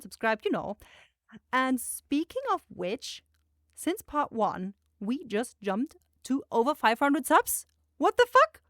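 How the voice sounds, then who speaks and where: A woman's voice: mid-range voice, no echo, studio quality. A woman channel host giving a casual, rambling chat to her listeners, searching for words as she goes.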